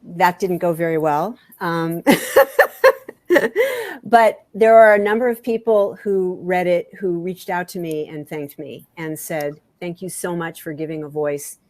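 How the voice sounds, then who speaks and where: A woman with a fairly low voice talks candidly as an interview guest. Her delivery is halting, circling back to repeat phrases for emphasis. The sound is quite dry, with little room echo. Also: expressive. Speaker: author, podcast guest.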